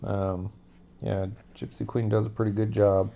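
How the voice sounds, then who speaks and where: A man's voice: on the low side, level tone, a little slow, creaky, dark tone. A chatty man hosting a card-opening video, talking to viewers with a stuffy nose. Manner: chatty, addresses viewers as folks. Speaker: card-opening vlog host. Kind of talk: casual chat. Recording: dull lo-fi recording.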